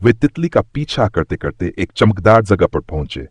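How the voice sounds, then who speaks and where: A man's voice: low-pitched, sing-song, moderate pace, a little hiss or hum. A man narrating a scripted moral story for children as a storyteller.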